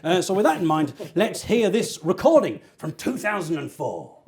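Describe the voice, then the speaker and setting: A man tells stories in a loose, self-mocking way and laughs often. His voice sits a little high and swings widely in pitch as he jokes through the tale of his band's early days. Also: sing-song, moderate pace, a little mellow. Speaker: host, folk singer. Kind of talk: jokey livestream chat.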